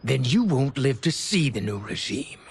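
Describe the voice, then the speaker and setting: An actor playing a menacing, scornful traitor soldier in a video game, with a threatening, taunting delivery. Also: male voice, medium pitch, very animated, normal speed, vocal fry, very noisy. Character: menacing, scornful male soldier serving a treasonous general. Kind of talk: dramatic video game acting. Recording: music behind.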